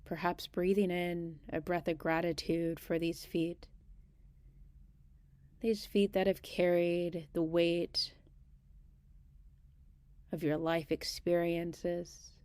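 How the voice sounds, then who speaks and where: A woman guiding a meditation in a gentle, unhurried manner, leaving long pauses between short phrases. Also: fairly low pitch, no echo. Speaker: meditation guide. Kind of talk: guided body scan meditation.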